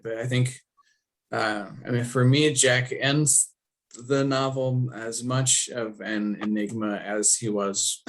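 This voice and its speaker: A man leads a thoughtful book discussion at an unhurried, slow pace. His phrasing is hesitant, feeling its way toward each point. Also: mid-range voice.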